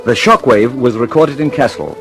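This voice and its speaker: Driven british engineer in an old radio play, super animated, urgent, bit of music behind. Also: male voice, medium pitch, normal speed. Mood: urgent, businesslike. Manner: urgent, insistent, stammering slightly when flustered. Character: harried, determined British male aircraft engineer and inventor. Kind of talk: mid-century radio drama acting.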